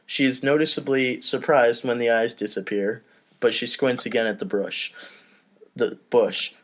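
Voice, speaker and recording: A man narrating script directions on a comedy podcast, slipping into casual asides. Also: medium pitch. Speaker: podcast host reading stage directions.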